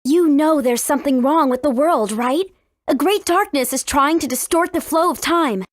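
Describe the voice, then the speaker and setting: A woman's voice: high-pitched, normal speed, no echo, clean studio sound, natural voice. A woman voicing a confident, cheeky teenage heroine in a video game, breezy and persuasive. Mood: earnest. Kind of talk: video game character acting.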